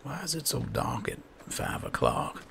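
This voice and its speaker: A man with a very deep, gravelly voice giving casual, unhurried roleplay commentary as he plays a video game. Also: wildly swooping pitch, sharp tone, a little hiss or hum. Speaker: gaming channel host, player.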